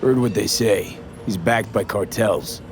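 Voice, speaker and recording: A man's voice: lowish voice, a little slow, music in the background, natural voice. A male actor playing a guarded young mercenary in a cyberpunk game, American, speaking in clipped, streetwise, matter-of-fact phrases. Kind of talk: video game voice acting.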